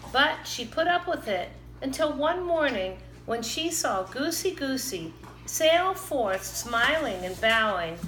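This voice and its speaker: A woman reads a children's story with animated expression. Her voice is bright and takes its time. It sounds like an echoey room with faint noise. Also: high-pitched. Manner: animated, dramatizes characters' lines. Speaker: storybook reader. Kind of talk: expressive children's read-aloud. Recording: roomy, echoing, some background noise.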